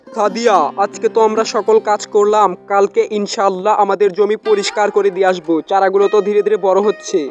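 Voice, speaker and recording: Casting: male actor for earnest village farmer, notably high voice, reassuring cartoon husband, music underneath. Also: flat delivery, fast, lo-fi sound, natural voice. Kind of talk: amateur cartoon dialogue.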